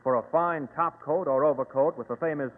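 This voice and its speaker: An actor playing an eager, agreeable customer in scripted 1940s radio advertising dialogue. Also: male voice, fairly high pitch, a little fast, lightly acted. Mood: keen. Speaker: radio commercial performer. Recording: tinny, reverberant old radio recording.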